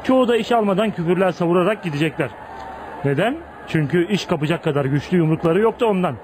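A man's voice: sits high, animated, quick pace. Kabadayı genç liman işçisi, gürültülü kayıt. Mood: tough, swaggering. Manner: blunt, swaggering, lecturing. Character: tough, streetwise young dockworker with hidden kindness. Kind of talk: Turkish radio theatre acting. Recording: noisy radio drama recording.

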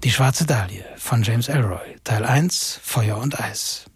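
A man's voice: mid-range voice, slow, slightly rough, dry recording. A man reading a scripted, dramatic introduction as the presenter of a radio play. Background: prominent music.

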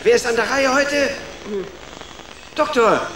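A man's voice: extremely high pitch, slow pace, bright, forceful tone, full character voice. An actor playing a pompous old grandfather rat chieftain in a children's radio play, commanding and ceremonious. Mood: commanding, impatient. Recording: echoey, distant, noisy background.

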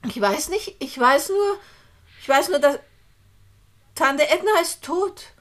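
A high-voiced young woman in a German radio play. She is anxious and dramatic, pressing her words with urgent, pleading insistence. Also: animated, slow, crowd noise. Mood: distressed.

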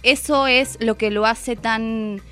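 A woman's voice: a little high, steady tone, slow, a bit bright, smooth. An Argentine woman giving a conversational book review on the radio, thoughtful and hesitant, rephrasing as she goes. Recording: music playing under the voice. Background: background music.

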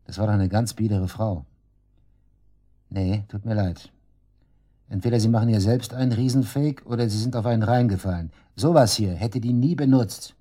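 Radio crime drama: male actor as cornered suspect, low and mellow-dark, crude slang, scornful, naturalistic. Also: moderate pace, natural voice. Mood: defiant, disbelieving. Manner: sarcastic, crude, defiant, slangy. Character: brash, sneering male murder suspect under police questioning.

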